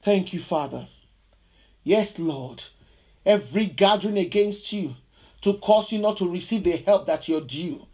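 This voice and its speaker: A woman's voice: lowish voice, animated, unhurried, dark tone. A woman preacher delivering a charismatic sermon and prayer, emphatic and insistent, with repeated declarative phrases.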